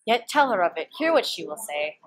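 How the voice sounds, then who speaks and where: A teenage girl playing a deferential gentlewoman attendant who joins in a plot, in a student Shakespeare performance. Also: fairly low pitch, sing-song, brisk. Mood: earnest, deferential. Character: Ursula, young gentlewoman attendant, deferential co-conspirator.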